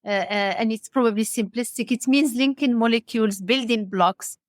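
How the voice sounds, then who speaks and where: A woman from Morocco hosting a podcast, interviewing conversationally in a halting, discursive and affirming way. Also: a little high, no echo, clean studio sound. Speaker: podcast host.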